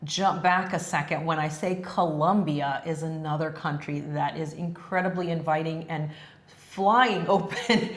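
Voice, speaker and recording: Low-voiced woman, Spanish native, echoey room. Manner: friendly, laughs often, many fillers. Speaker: co-host. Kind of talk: casual travel chat.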